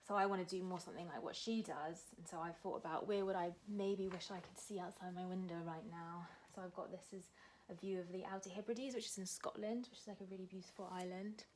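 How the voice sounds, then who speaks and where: Kiwi woman showing an art lesson, lowish voice, chatty with lots of ums, goes soft and quiet while drawing. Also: steady tone, quick pace, few pauses, a little hiss or hum, rough recording. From New Zealand. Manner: casual, many fillers, talks while drawing, often drops to a soft low voice. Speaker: art tutor. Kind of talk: art demonstration tutorial.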